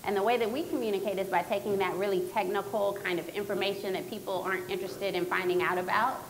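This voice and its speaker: A woman's voice: medium pitch, steady tone, fast talker, slight background noise, poor audio. A woman introducing herself on a panel, speaking earnestly and steadily. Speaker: panelist, organization president.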